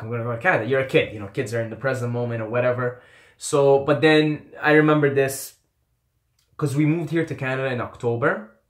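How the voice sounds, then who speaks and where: Candid young man in an echoey room. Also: medium pitch. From Qatar. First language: Arabic. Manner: rambling, candid, swears casually, repeats phrases. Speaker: YouTuber. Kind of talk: casual vlog storytelling.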